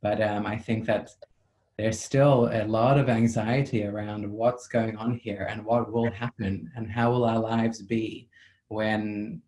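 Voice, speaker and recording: South african guy on a zoom call, lowish flat voice, thoughtful, lots of ums. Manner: measured, reflective, with frequent ums. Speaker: psychotherapist. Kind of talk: thoughtful interview answers. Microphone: video call.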